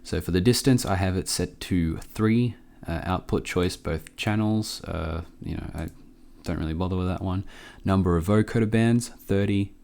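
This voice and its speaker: A man with a low voice walks through a software tutorial casually. He sounds a little under the weather and talks in an offhand, halting way, close to the mic. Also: creaky. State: sick with a cough. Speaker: tutorial presenter. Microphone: close microphone.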